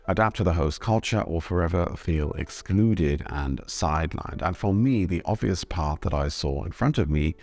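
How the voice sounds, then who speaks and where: A young Englishman with an English accent, telling his own story in measured, reflective scripted narration. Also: low voice, lively intonation, darkish tone. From Britain. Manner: thoughtful, measured storytelling, switching between English and German. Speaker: vlogger.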